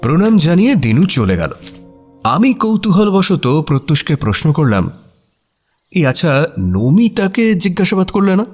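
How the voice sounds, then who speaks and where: A man's voice: fairly high pitch, very animated, moderate pace, darkish tone, soft background music. A man playing the detective's curious, amused companion, narrating a scripted radio mystery story in a dramatic style.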